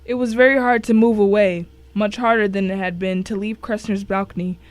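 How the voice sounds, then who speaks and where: A woman's voice: mid-range voice, fairly even intonation, fairly quick, no room sound. A woman reading a scripted first-person thriller as narrator, telling it with drama and suspense. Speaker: story narrator.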